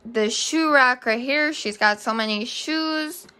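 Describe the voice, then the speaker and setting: A young woman chats casually to a camera as she moves through her home. Her voice sits a little high and she talks very slowly. She is hesitant and rambling, working out her thoughts aloud. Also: bright, forceful tone. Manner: hesitant, halting, thinking aloud. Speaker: vlogger giving a house tour. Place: rooms of a home, indoors. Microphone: handheld camera or phone at arm's length.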